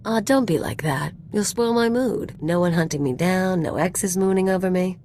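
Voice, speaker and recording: Female mercenary sidekick played by an actress, game dialogue: cocky, sardonic, low pitch, fry in the voice. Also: normal speed, darkish tone, slight background noise, lightly acted. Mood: playful bravado. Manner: cocky, casual, slangy. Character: brash, sardonic gangster woman, thrill-seeking mercenary sidekick. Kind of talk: video game companion dialogue acting.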